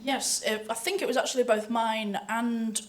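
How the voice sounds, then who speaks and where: A woman's voice: on the high side, fairly even intonation, normal speed. A woman panelist chatting informally and casually at a live event about her work. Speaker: voice actor and panelist.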